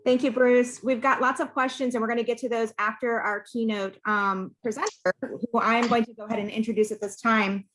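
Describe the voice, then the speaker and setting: Higher-pitched woman hosting. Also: steady tone, a little fast, few pauses, a bit bright, a little hiss or hum, rough recording. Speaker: event host introducing speakers. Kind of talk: semi-formal introduction.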